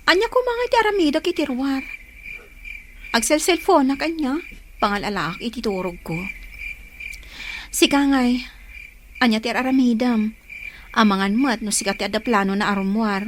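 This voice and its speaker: A woman's voice: fairly high pitch, normal speed, pauses often, slight background noise, close to an everyday voice. A woman with an Ilocano accent playing a hardworking young woman with a deceitful boyfriend in a radio drama. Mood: suspicious, sleepy. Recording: music behind the voice.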